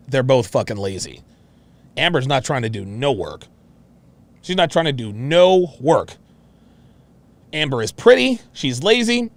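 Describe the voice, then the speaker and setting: Animated, high-pitched brash man. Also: no room sound. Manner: brash, mocking, profane, repetitive. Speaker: host of a commentary show. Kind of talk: reaction commentary on a played clip.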